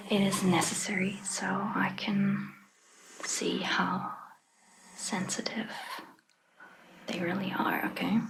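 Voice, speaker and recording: A woman whispering gently in an ASMR roleplay as a caring vampire doctor, reassuring and a little hesitant. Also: mid-range voice, gravelly, low-quality recording. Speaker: ASMR performer.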